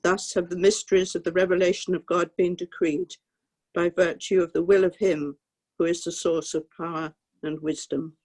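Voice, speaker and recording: Solemn, measured prayer reader. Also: medium pitch, little pitch movement, a little mellow, breathy edge. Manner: slow, measured reading. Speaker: participant reading a prayer. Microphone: video call.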